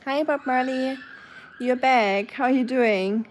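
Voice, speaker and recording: German-accented woman, higher voice, chatty. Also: slow, slight background noise. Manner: chatty and warm toward viewers, with frequent fillers and soft laughs. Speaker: livestream host. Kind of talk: casual chat, reading viewer comments aloud.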